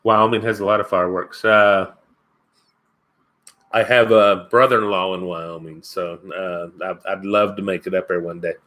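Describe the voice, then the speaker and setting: A man's voice: sits low, little pitch movement, moderate pace, bright, forceful tone. A man from Kentucky with a Kentucky accent, hosting his first live stream and chatting casually with viewers, rambling and chuckling as he answers comments. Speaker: outdoors channel host.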